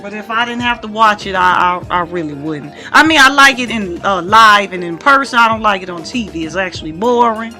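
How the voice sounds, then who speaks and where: A woman's voice: medium pitch, expressive, fairly quick, hardly any pauses, pushed and bright, faint music behind. A woman hosting a casual radio interview, talking in an effusive, encouraging way. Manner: effusive, encouraging, echoes the guest. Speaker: radio show host.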